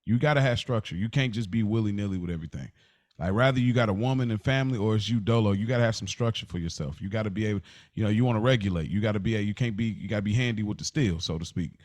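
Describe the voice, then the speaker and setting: A man's voice: lowish voice, racing, darkish tone, no echo. An American man co-hosting a podcast, giving casual advice in slangy, conversational talk. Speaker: podcast co-host.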